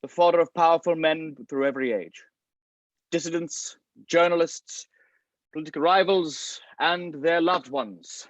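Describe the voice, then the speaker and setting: Man acting callous scientist, high voice, sardonic and condescending, scripted drama, close dry sound. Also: unhurried, dry recording, close to an everyday voice, rough recording. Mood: scornful. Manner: sardonic, condescending, foul-mouthed. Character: cynical, derisive scientist called the Thinker, contemptuous and callous. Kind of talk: dramatic table read of a film script.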